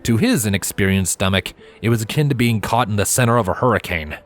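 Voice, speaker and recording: A man's voice: on the low side, fast, soft background music. A man reading a scripted story aloud as its narrator. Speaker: fan fiction reader.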